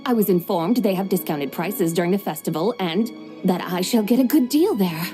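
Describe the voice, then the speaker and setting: A woman's voice: mid-range voice, animated, normal speed, dark tone, soft background music, lightly acted. A woman voicing a drowsy, formal mystic woman with grand, old-fashioned manners in a game cutscene. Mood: stately.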